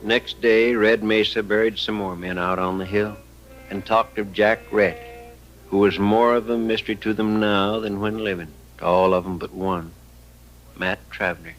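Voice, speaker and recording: An American actor as the grave narrator of an old radio western, deliberate and dramatic. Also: male voice, fairly low pitch, steady tone, natural voice, rough recording. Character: grave storyteller of a western tale. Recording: old radio recording with music.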